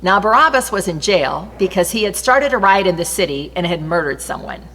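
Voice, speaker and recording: Low-voiced woman reading scripture in reverberant hall. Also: lively intonation, a little fast, a bit bright, breathy edge. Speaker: scripture reader. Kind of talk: expressive read-aloud Bible narration. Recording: long reverberant hall echo.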